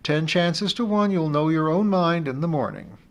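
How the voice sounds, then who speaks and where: A man voicing a shrewd, affectionate woman friend who talks briskly on the telephone. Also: a little high, voice pushed up, lightly acted. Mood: brisk, kindly.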